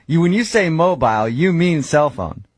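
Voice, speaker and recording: Husky, lively American man on a call. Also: fairly high pitch, normal speed, dry recording, clean studio sound. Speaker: radio host making a prank call. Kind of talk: casual phone chat, posing as customer service.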